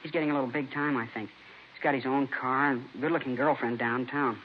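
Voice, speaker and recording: Male actor as candid teenage boy, quick casual speech, mid pitch, muffled old radio recording. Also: steady tone, natural voice. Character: cooperative, slangy seventeen-year-old schoolboy questioned by police. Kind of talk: 1950s radio drama acting. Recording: muffled vintage radio recording, faint noise.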